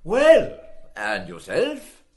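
A scornful older wit in a literary radio drama. He drawls very slowly and his pitch swoops wildly as he exclaims, sour and profane. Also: wildly swooping pitch, a little mellow, heavily acted. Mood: hearty greeting.

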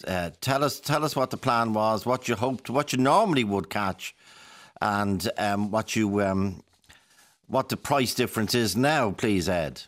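A man's voice: lowish voice, expressive, unhurried, a bit bright. A man presenting a radio show, questioning a caller in a probing, somewhat rambling way.

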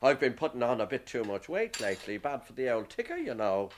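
Man acting evasive husband, very animated intonation, radio soap, slightly noisy old recording. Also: mid-range voice, brisk, natural voice. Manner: offhand, evasive. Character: evasive, mildly irritable Irish husband at breakfast. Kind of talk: domestic radio drama acting.

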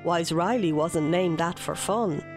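A woman's voice: fairly low pitch, unhurried, natural voice. Wise old storybook man, dry and knowing, read by a woman narrator, faint music behind.

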